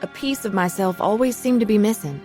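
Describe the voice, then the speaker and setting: A woman's voice: medium pitch, expressive, brisk, a little mellow, soft background music, close to an everyday voice. An actress playing a reflective young woman who narrates her troubled youth in a confessional radio drama. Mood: wistful. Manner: earnest, confessional. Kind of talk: scripted first-person radio drama narration.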